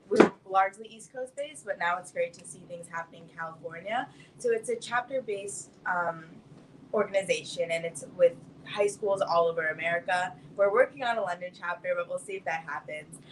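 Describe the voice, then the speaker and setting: A woman's voice: fairly low pitch, normal speed, rough recording. Young woman explaining, faint background noise. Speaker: youth activist organization co-director, guest. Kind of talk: informal spoken announcement.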